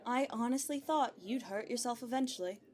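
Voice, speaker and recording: A woman's voice: on the high side, brisk, a little mellow, close to an everyday voice. A woman playing a blunt, sassy young castle maid in an audio drama.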